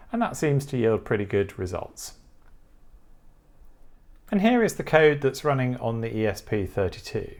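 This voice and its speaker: A man presenting a technical tutorial, explaining each step in a methodical, instructive way. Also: mid-range voice.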